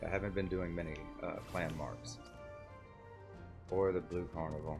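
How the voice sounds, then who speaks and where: A man's voice: deep voice, steady tone, moderate pace, music in the background. A man giving casual gameplay commentary as a game streamer.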